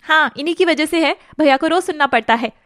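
Lady voicing a sulky jealous girl, whiny and high-pitched. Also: quick pace, a bit bright, no room sound, studio quality. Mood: gossipy. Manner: whining, complaining. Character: whiny, jealous young woman, sulky daughter.

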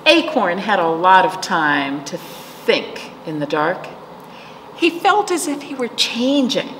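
A middle-aged woman telling a children's story as a storytime host, animated and expressive, with asides to her listeners. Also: fairly low pitch, slow. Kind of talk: expressive read-aloud storytelling for young children. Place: indoor library storytime room. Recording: roomy reverb with faint room noise.

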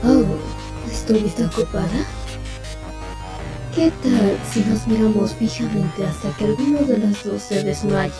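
A woman voicing a cold, sarcastic female supercomputer in a Spanish fan dub of a video game, measured and vindictive. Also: mid-range voice, fairly even intonation, lightly acted. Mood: cold sarcasm. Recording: music behind the voice.

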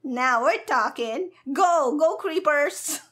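A woman's voice: high-pitched, expressive, takes it slowly, fairly bright tone. A woman streamer giving casual, playful gameplay commentary in a cheeky, hyper, giggly manner. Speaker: gaming streamer, virtual avatar host.

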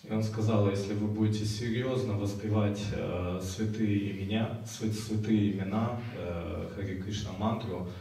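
A man's voice: fairly low pitch, fairly even intonation, normal speed, hardly any pauses, mellow, recorded from a distance. A young man working as an interpreter, speaking after each phrase with hesitant fillers, in a large festival tent. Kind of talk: consecutive interpreting. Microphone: stand mic. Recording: echoey hall, heard from afar.

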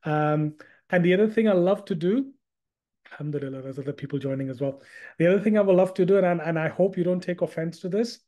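A man's voice: sits high, quick pace, dark tone. Chatty man teaching a live online class. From Hong Kong. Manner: chatty, repeats words, asks the audience questions. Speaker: Islamic studies teacher.

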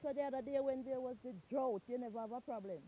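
A Jamaican woman vlogging on a farm, chatting in a lively, exclaiming way while filming on a handheld phone outdoors. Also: high-pitched, fast, slight background noise, rough recording. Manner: lively, exclaiming, repeats catchphrases. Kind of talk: casual farm tour chat. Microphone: handheld phone camera.

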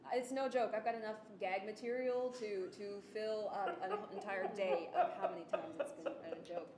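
A young adult woman giving a casual presentation, chatty, animated and self-deprecating. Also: medium pitch, normal speed, background noise. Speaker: student presenter of an audio drama project. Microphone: desktop microphone on the table. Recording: roomy, echoey sound with music behind.

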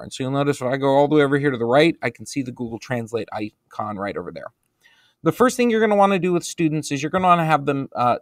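A man with an American accent narrating a screen-recorded software tutorial for teachers, relaxed and explanatory, with frequent ums and uhs. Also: mid-range voice, no room sound, studio quality. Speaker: instructional technology trainer.

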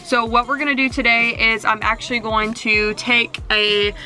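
A woman's voice: on the high side, level tone, normal speed, pushed and bright, soft background music. A woman in her twenties chatting casually to camera as she fixes up a camper van, talking while she works. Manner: chatty, talks straight to camera while working. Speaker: vlogger. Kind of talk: casual how-to vlog.

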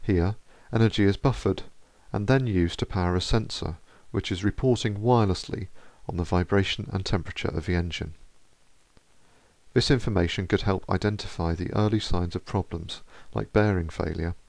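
Low gravelly man, measured voice-over. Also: no room sound. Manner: steady, measured explanatory voice-over. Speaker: researcher. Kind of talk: scripted narration.

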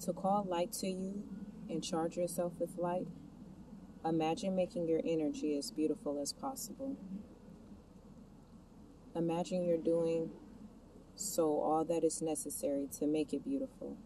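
A woman leading a scripted guided meditation, giving the listener soft step-by-step instructions and questions. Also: unhurried, pauses often. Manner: instructional, addressing the listener with gentle imperatives and questions. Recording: distant mic, clear background noise, ambient rumble.

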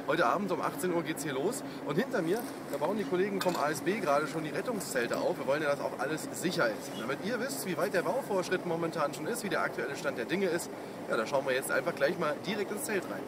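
A man's voice: fairly high pitch, lively intonation, fast. A man in his thirties presenting an on-location promotional report in a chatty, upbeat announcing manner. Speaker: radio presenter reporting on site. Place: event site, outdoors and inside big tent. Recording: loud ambient noise, on-camera location sound.

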